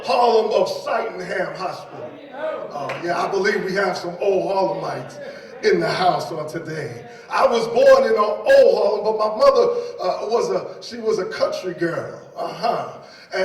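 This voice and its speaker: Preaching man in an echoey hall. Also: high voice. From New York City. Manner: preacherly, repeats key phrases, addresses the congregation. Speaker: pastor. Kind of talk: sermon. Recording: echoey hall, distant mic.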